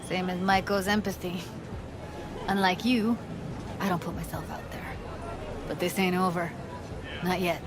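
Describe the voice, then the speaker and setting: An actress playing a guarded, determined young tech tinkerer in a video game cutscene, with clipped, matter-of-fact delivery. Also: female voice, on the low side, flat delivery, measured pace, pauses often, a crowd in the background, background noise, natural voice. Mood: wry, resolute.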